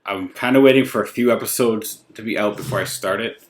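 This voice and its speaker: A man's voice: on the low side, fairly quick. A man hosting a podcast in a casual, jokey, self-deprecating chat with his friend. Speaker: podcast host.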